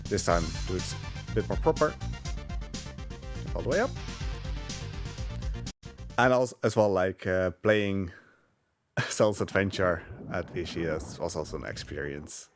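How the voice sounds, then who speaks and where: A man's voice: low voice, a little slow, pauses often, over music. A man giving casual live commentary on his own speedrun, rambling with hesitant asides as he plays. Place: live gaming marathon event, game audio behind.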